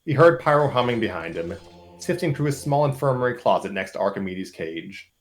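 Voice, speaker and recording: A man's voice: medium pitch, lively intonation, brisk, soft background music. A man narrating a comic story aloud in an informal group setting, with casual asides.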